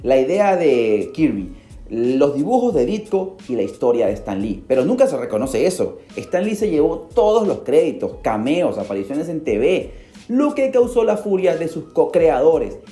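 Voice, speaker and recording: A man hosts a podcast and narrates a story in a dramatic, animated way. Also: a little high, moderate pace. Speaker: podcast host. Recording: roomy echo. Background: faint music.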